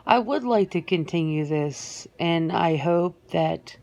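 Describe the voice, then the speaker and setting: Reluctant female werewolf played by a woman for ASMR interview roleplay: slow, slightly creaky, hesitant, sentimental. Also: low voice, a little mellow, heavily acted. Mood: earnest. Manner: hesitant, halting, with repeated words.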